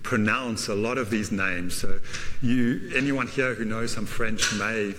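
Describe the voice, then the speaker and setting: A man whose first language is German gives a university lecture, halting often with fillers and adding self-deprecating asides. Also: lowish voice, fairly bright tone, slight background noise. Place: lecture hall. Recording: lecture hall reverb.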